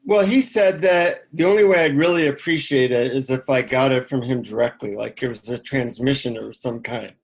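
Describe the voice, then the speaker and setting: A man's voice: medium pitch, moderate pace, hardly any pauses, breathy edge, poor audio. Middle-aged man telling personal stories. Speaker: interview guest, author. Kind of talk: conversational interview, personal anecdotes.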